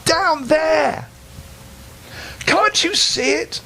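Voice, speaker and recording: Brave young storybook lad done by a man: high, animated, eager, urgent. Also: extremely slow, creaky, full character voice. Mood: excited.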